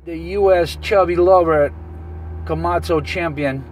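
A man's voice: a little high, measured pace. A man making casual chat as an operator on a CB radio. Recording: distant CB radio with heavy noise.

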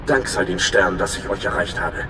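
A man's voice: low voice, a little fast, roomy sound, background noise, close to an everyday voice. A man playing a nervous, friendly young undercover informant in a video game, chatty and informal with a touch of anxiety. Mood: anxious, confiding. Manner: chatty, informal, slightly anxious. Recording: clear music behind the voice.

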